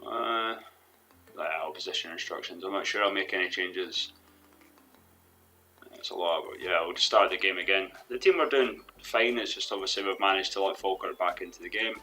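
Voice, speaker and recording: Low bright-toned young man, a bit hoarse. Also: moderate pace. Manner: rambling, hesitant, with restarts. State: losing his voice. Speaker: gaming commentator. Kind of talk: let's play commentary. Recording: faint background music.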